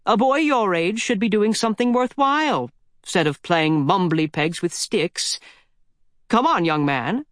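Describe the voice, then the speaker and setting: A man voicing a brisk, nosy little old countrywoman who orders a boy around. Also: high voice, lively intonation, fairly bright tone, no echo, clean studio sound, voice pushed up. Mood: bossy.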